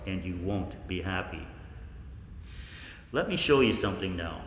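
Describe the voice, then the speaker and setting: A man playing a haunted researcher looking back, narrating a 1940s radio play in an intimate, deliberate, ominous way. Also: low-pitched, expressive. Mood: haunted, dread, then measured, explanatory. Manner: intimate, deliberate, knowing, ominous direct address. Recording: echoey hall, long reverb, faint noise.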